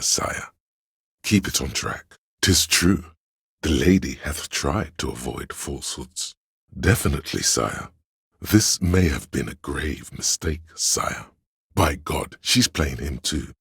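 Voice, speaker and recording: Game casting: a grandiose, scheming courtly inner voice; extremely low, husky, declamatory, archaic. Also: male voice, fairly bright tone, no echo, studio quality, exaggerated voice. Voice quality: gravelly, husky. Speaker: voice actor. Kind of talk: theatrical video game voice lines.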